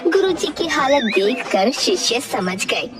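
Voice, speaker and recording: Casting: female cartoon-story narrator, highish animated voice, slightly distant with music underneath. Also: slow pace.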